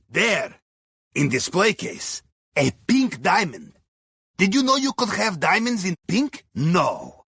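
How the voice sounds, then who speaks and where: A man's voice: sits high, sharp tone, slightly rough, dry recording, clean studio sound, full character voice. An actor playing a wry, upbeat Russian submarine helmsman in a video game, with a Russian accent and chummy, clipped broken English. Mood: amused, enthusiastic. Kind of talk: scripted game dialogue, mission briefing.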